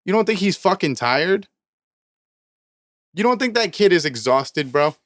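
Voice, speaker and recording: Man around thirty, podcast co-host, smooth resonant voice, dry close mic, emphatic and profane. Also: high voice, very animated, measured pace, a bit bright, no echo. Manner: emphatic, profane, preachy motivational rants. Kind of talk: casual podcast chat.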